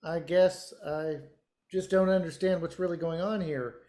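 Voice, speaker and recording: An actor playing a demanding, entitled theater director, curt and impatient, in a naturalistic play. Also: male voice, on the high side, steady tone, a little slow, dark tone, natural voice. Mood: defensive. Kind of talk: naturalistic stage play acting over video call. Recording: echoey room on video call.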